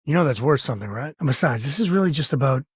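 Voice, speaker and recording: A rugged frontier spacefarer from a video game, an American man with a husky, medium-pitched voice. He speaks in a folksy, earnest way with a wry edge, like a protective father. The sound is dry and close. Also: lively intonation, very fast, dry recording. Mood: earnest, measured. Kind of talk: video game character voice acting.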